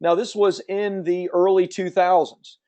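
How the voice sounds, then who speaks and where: A man in his fifties with a slight Southern American accent, rattling through a webinar at speed. His voice sits a little high for a man. His delivery is upbeat and salesy, like a pitch to an online audience. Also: flat delivery, measured pace, warm tone, highs cut off. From Central Florida. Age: 50s. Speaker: webinar host. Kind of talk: fast promotional webinar presentation.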